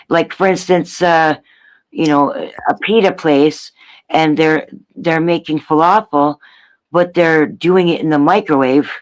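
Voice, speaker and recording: A man from Canada with a Canadian accent, chatting casually on a panel in a halting way, repeating phrases. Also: a little high, low-quality recording. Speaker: panel participant.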